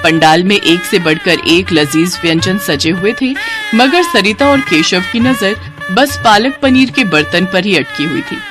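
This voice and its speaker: A woman storyteller reads a scripted moral tale for children. Her voice has a medium female pitch, and she narrates as one would for a bedtime story. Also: lively intonation, unhurried, over music.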